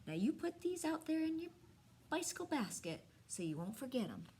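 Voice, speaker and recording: A woman voicing a kindly, gently teasing Southern grandmother in a storybook, folksy and coaxing. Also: sits high, very animated, moderate pace, a little hiss or hum, voice pushed up. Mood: caring. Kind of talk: storybook read-aloud.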